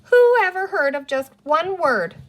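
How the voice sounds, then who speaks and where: A woman's voice: very high voice, sing-song, unhurried, a bit bright, voice pushed up, full character voice. A woman voicing an impulsive small boy in a children's storybook, blurting things out in simple, childish words. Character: small impulsive boy, outraged over toys, amiable.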